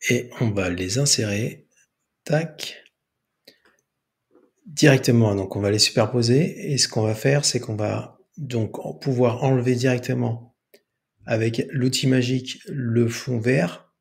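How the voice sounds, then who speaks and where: Slow, gravelly man giving a tutorial. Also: mid-range voice. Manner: hesitant, narrating each step aloud. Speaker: tutorial host. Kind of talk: screen tutorial commentary, improvised.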